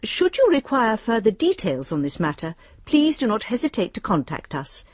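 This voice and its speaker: Courteous, officious British bank official, radio play; slightly breathy voice, a little muffled, dry sound. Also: female voice, a little high, wildly swooping pitch, moderate pace, no echo. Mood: formally courteous. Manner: formal, precise, officious. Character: prim, courteous female bank lending advisor. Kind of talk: radio drama letter reading.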